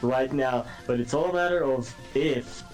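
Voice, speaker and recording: A man's voice: mid-range voice, moderate pace, a little mellow, faint music behind, poor audio. A man giving live esports commentary, analytical and a little hesitant, using fillers as he talks.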